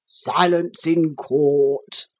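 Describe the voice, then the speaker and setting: A woman voicing a stern, pompous male judge in a storybook, with formal, measured delivery. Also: low voice, fairly even intonation, a little mellow, husky, heavily acted. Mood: stern, solemn. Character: stern, solemn male judge pronouncing sentence.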